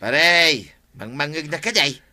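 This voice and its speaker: A man's voice: a little high, unhurried, pushed and bright. An actor with an Ilocano accent playing a chummy village sidekick who soothes and advises his friend in a radio comedy drama. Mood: chiding, sympathetic. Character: village buddy, soothing sidekick of a troubled captain.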